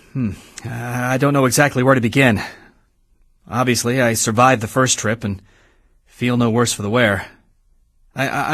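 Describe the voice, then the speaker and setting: A troubled young male soldier records a game audio log in a mid-pitched voice. He is halting and searches for words, captured dry and close. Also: a bit bright, dry recording. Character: troubled young soldier, male, hesitantly giving mission feedback. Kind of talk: video game voice acting, halting debrief log.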